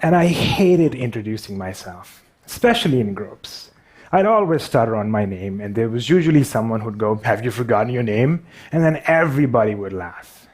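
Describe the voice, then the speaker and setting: A man with a Pakistani accent speaks to an audience at a mid-range pitch. His storytelling is personal and self-deprecating, with occasional halting phrases. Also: animated, a little mellow. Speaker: public speaker.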